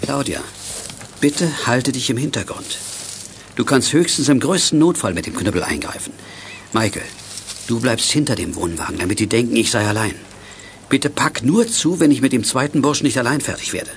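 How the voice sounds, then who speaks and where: A man playing a calm, commanding leader of young detectives in a German children's radio play. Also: mid-range voice, normal speed, slight background noise, lightly acted. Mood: resolute. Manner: directing, matter-of-fact.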